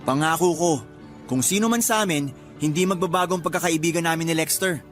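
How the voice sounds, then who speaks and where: A male actor playing an upbeat, loyal young suitor in a Filipino radio drama, chummy and animated. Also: on the high side, brisk, soft background music, natural voice. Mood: nervous, earnest.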